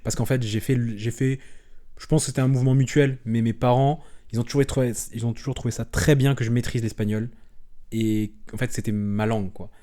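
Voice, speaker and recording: A young French man chatting openly as an interview guest, relaxed and rambling, with frequent restarts and fillers. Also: mid-range voice, a little fast. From France. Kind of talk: casual conversational interview.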